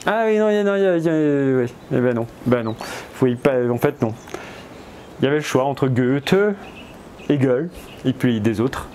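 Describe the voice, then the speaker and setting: A man's voice: mid-range voice, normal speed, long pauses, darkish tone, a little hiss or hum. A man with a cold, rambling in a hesitant casual vlog, full of fillers and restarts and chatty asides to his viewers. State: has a cold. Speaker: vlogger. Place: outdoors in spring.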